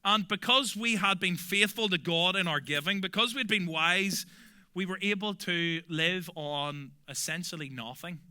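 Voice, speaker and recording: A young adult man from Belfast with a Belfast accent, preaching a sermon earnestly and emphatically, often dropping to soft, quiet endings. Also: sits high, a little slow, clean tone. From Northern Ireland. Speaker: pastor.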